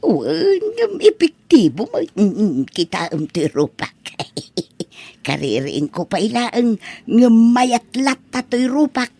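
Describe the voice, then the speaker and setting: A woman playing an ailing, vain elderly mother in a comedy audio drama, with an Ilocano accent and a plaintive, drawn-out delivery. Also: on the high side, sing-song, gravelly, mellow, slightly rough, no room sound, full character voice. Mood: vain, coy.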